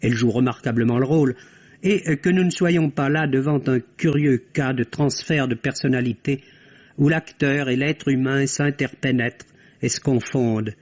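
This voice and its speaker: A man giving a scripted film review on the radio in measured, literary phrasing. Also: mid-range voice, darkish tone. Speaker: film critic. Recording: dull vintage French radio recording.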